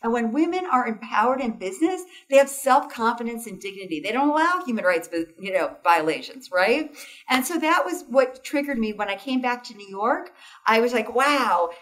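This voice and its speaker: A woman's voice: fairly high pitch, lively intonation, moderate pace, a bit bright, recorded from a distance, rough recording. A woman being interviewed as a foundation founder, talking enthusiastically and often tagging sentences with "right?" and "you know". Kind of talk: interview conversation.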